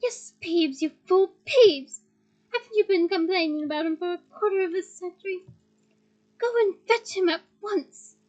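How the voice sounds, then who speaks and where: A woman's voice: very high-pitched, fairly even intonation, moderate pace, warm tone, highs cut off. A woman voicing a stern, commanding elderly witch in a storybook reading. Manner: commanding, clipped.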